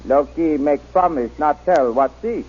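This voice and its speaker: Wary native guide, slow halting pidgin. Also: male voice, fairly high pitch, slightly rough. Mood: wary, guarded. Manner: halting pidgin English, clipped phrases. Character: wary native guide, loyal, simple, cautious man. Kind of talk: old-time radio drama acting. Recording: muffled, dull old radio recording.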